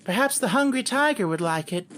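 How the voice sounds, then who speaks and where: Storybook straw man, male reader: raised pitch, cheerful, musing, whimsical. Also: expressive, brisk, fairly bright tone, a little hiss or hum. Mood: curious. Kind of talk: audiobook character voice.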